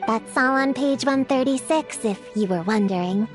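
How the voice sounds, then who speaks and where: A woman's voice: on the high side, normal speed, faint music behind, lightly acted. A woman voicing a bookish, articulate young girl in an anime-style fantasy video game. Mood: informative.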